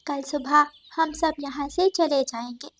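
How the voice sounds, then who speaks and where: A woman voicing a caring, worried mother bird in an animated moral story. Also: high voice, unhurried, voice pushed up. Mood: sad, resigned.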